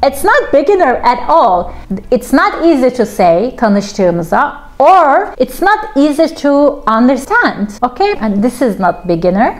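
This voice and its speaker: A woman in her thirties teaching a casual video lesson at home, animated and playful with lots of gestures. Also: high voice, measured pace. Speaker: language teacher. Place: home room with plants.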